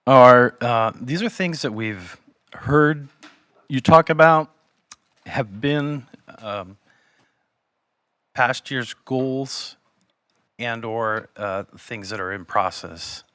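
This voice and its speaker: Guy presenting at a council meeting, bit raspy, talks through a mask, bright voice, dry sound. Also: medium pitch, extremely slow, long pauses, no echo. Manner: hesitant, many ums and restarts. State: slightly raspy voice. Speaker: city staff presenter. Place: council chamber. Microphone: wearing a mask.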